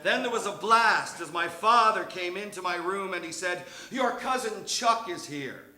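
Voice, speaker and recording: A man reading a comic personal story live on stage in a theatrical, measured way. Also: sits high, animated, sharp tone. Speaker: reader of a humorous memoir story. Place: theatre stage before an audience. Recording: echoey live stage recording.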